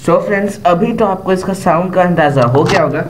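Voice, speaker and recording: Higher-voiced Indian man, noisy home recording. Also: fairly quick. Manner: mixes English words into speech, addresses viewers as guys. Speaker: DIY tutorial host. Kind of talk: casual hands-on demonstration to viewers. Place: indoor room, sitting on a bare floor. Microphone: camera's own built-in microphone.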